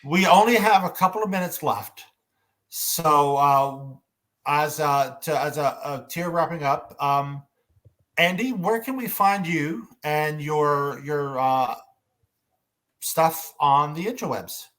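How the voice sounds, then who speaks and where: A man's voice: on the high side, takes it slowly, fairly bright tone. Man casually moderating a fan panel. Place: online video call.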